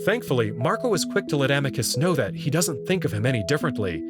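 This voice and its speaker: A man reading scripted narration and commentary as narrator and host, articulate and expressive. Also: mid-range voice, fast talker, over loud music.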